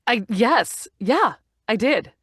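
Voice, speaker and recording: A woman's voice: a little high, takes it slowly, slightly rough, no room sound, clean studio sound. A woman in her forties, an actor and narrator, chatting openly in an interview and laughing often. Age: 40s. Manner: laughs often, animated and open. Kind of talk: casual interview chat.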